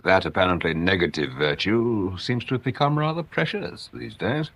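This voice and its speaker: An actor playing a dry, courteous, quietly sceptical English police inspector in a radio drama, polite and understated. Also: male voice, fairly low pitch, animated, normal speed, slight background noise. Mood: dryly polite.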